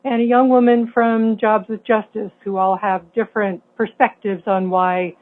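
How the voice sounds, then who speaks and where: A woman's voice: mid-range voice, little pitch movement, moderate pace. A woman hosting a public-access show, introducing clips in a plain conversational way. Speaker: show host. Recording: tinny phone-like line, faint noise.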